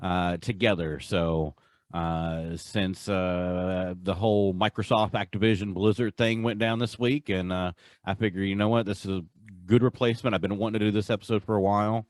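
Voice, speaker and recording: A young man co-hosts a casual podcast with a fairly low voice. He rambles, restarts and stammers on repeated words. The sound is dry and close to the mic. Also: fairly even intonation, moderate pace, dry recording. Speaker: podcast co-host. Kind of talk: casual chat.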